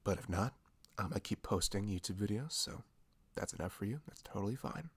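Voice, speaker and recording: A man's voice: fairly low pitch, lively intonation, a little fast, husky, no echo. A man making a casual, chatty announcement as an audio creator introducing his show. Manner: chatty, informal.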